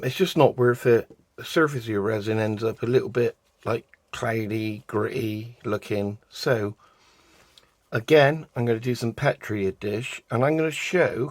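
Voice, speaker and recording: Slow, croaky British man. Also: medium pitch, no room sound. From United Kingdom. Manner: unhurried, chatty, talks while doing hands-on craft work. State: croaky voice, clearing throat. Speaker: resin art vlogger. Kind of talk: casual how-to narration while working.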